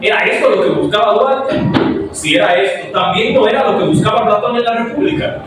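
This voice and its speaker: Young Dominican man, speech full of rhetorical questions, high animated voice, distant mic in a very echoey hall. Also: fairly quick, noisy background. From Dominican Republic. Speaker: philosophy student presenter. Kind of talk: academic speech. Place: event hall before an audience.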